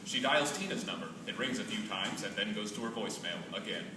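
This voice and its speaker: A man's voice: on the low side, fast talker. A man reading scripted stage directions as narrator at a staged play reading. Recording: echoey hall from far off, noisy.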